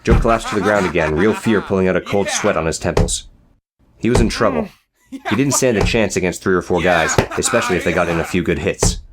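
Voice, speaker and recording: A man's voice: low voice, a little fast, very noisy. A man narrating a scripted, dramatic story as an audiobook reader.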